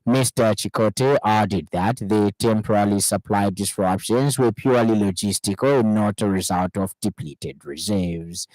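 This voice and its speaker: A man with a Zambian accent reading a scripted radio news bulletin in a formal, measured way. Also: lowish voice, a little mellow, no room sound, poor audio. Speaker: news reader.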